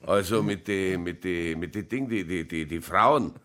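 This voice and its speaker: An actor playing a nosy, joking pub regular with a Bavarian accent, talking colloquially and fumbling for words in a radio drama. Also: male voice, deep voice, unhurried, a bit bright. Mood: curious, fumbling. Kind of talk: German radio crime drama acting.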